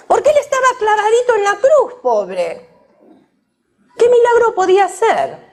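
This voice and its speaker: Animated woman preaching. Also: very high voice. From Argentina. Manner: questions the audience directly, emphatic. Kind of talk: sermon to a women's gathering.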